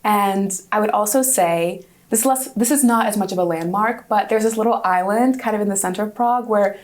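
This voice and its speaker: A young woman whose first language is Hungarian, a college student guest giving chatty, enthusiastic answers in a casual interview, often pausing and restarting her thoughts. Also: medium pitch. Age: young adult. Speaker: guest, study-abroad student.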